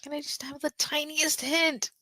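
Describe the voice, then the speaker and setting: A woman's voice: sits high, little pitch movement, normal speed, pushed and bright, no room sound, clean studio sound, pitched above the performer's own voice. A woman voicing a playful, pleading, dramatic young man in a fan-made audio drama. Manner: teasing, dramatic.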